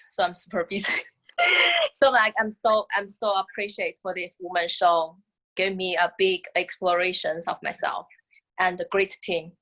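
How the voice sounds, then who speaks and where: A woman's voice: medium pitch, fairly bright tone, rough recording. A woman who works as a business consultant, introducing herself nervously with giggles on a video call. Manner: nervous, giggly, non-native phrasing.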